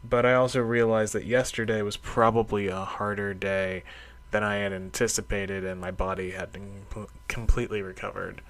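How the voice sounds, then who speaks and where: A man's voice: lowish voice, level tone, normal speed, fairly bright tone. A middle-aged man talking casually in a solo audio diary, reflective and rambling, with a self-deprecating streak. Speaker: karate practitioner keeping a training log.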